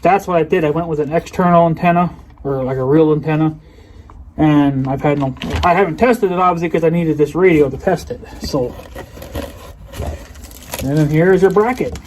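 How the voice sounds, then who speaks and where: A man's voice: on the high side, normal speed, long pauses, darkish tone. A man giving a casual, unscripted show-and-tell of a product in a rambling, folksy, colloquial way. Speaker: hobbyist video creator presenting a product unboxing. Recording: noticeable background noise with clicks.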